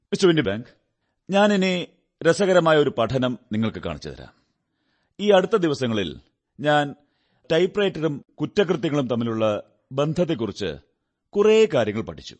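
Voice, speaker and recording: An actor playing a shrewd, composed male detective in a radio drama, speaking deliberately with theatrical pauses. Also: fairly high pitch, sing-song. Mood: analytical.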